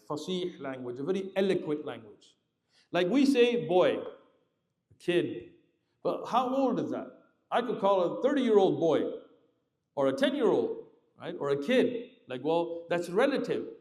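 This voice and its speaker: A man preaching a sermon, telling a story with lively explanatory asides. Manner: animated, lively pitch swings, explanatory asides. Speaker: Islamic preacher. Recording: roomy hall reverb.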